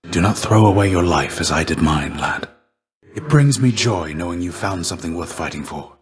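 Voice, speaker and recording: A deep, fatherly, weary warrior mentor. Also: animated. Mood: steady, encouraging. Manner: measured, formal, gentle archaic phrasing. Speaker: game character voice actor. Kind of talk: scripted video game dialogue.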